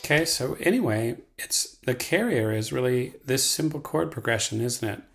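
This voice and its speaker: A man giving a casual software tutorial as the trainer, talking in a folksy, playful way. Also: medium pitch, lively intonation, normal speed, vocal fry, music in the background.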